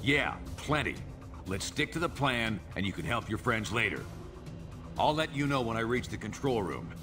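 An actor playing a blunt, commanding middle-aged spymaster with an American accent in a video game cutscene. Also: male voice, medium pitch, sing-song, moderate pace, over music, lightly acted. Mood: gruff, commanding.

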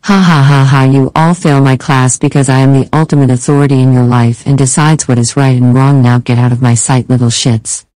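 Someone doing a ranting tyrant teacher. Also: female voice, very deep voice, steady tone, a little fast, hardly any pauses, dark tone, no room sound, rough recording. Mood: furious. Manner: ranting, domineering. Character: tyrannical angry female schoolteacher. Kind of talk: crude cartoon parody voice acting.

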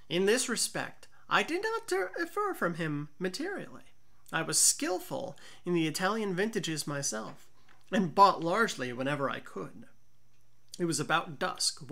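A woman reading a classic tale as dramatic audiobook narration, theatrical and deliberate. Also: on the low side, creaky, a bit bright. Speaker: amateur story reader.

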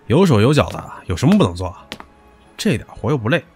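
A man's voice: mid-range voice, big pitch swings, fairly quick, darkish tone, soft background music. An actor in an audio drama playing a pushy, teasing young man who talks crudely and with swagger.

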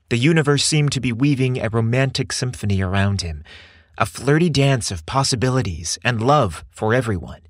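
A man hosting and narrating a scripted romantic story, with expressive, deliberate storyteller phrasing. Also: medium pitch, dry recording, clean studio sound. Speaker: podcast host and narrator.

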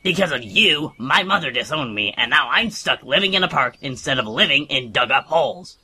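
A man's voice: medium pitch, animated, brisk, sharp tone, breathy edge, heavily acted. A man voicing a brash, crude, indignant teenage bully in a parody cartoon dub. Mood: angry. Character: brash, whiny, aggrieved teenage boy bully.

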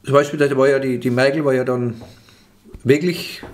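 A man's voice: mid-range voice, fairly even intonation, moderate pace. An older man with a Franconian accent, chatting as a comedian guest in a small office room, with lots of restarts as he talks. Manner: chatty, lots of restarts, gestures while talking. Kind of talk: casual interview chat. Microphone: table microphone.

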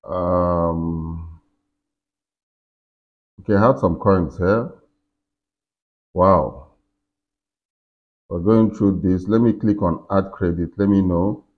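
A man in his forties with a low voice talks through a software tutorial in a casual way. He guides himself and the listener step by step. The recording is slightly dull and lo-fi. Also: steady tone, takes it slowly, pauses often. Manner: talking himself through each on-screen step. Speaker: tutorial host. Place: indoors in a furnished room.

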